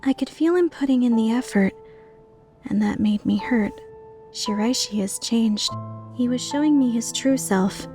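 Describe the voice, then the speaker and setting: A woman playing an earnest, timid, tender-hearted young woman in a dubbed visual novel, speaking in a measured way. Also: a little high, dark tone, soft background music. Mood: moved. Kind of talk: dubbed visual novel acting with inner narration.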